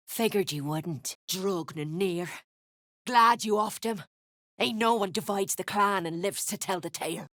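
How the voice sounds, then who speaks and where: A woman's voice: fairly high pitch, pushed and bright, dry recording. Female duergar sellsword, video game, greedy, coarse, blunt menace, piercing edge, very dry close recording. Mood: commanding. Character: tough, foul-mouthed, greedy female duergar mercenary. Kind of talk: video game character dialogue.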